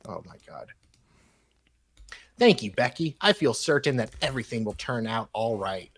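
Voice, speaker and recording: A man's voice: mid-range voice, animated, moderate pace, husky. A man hosting a live show, reading emails aloud in a dramatic style and breaking off for casual, mocking asides.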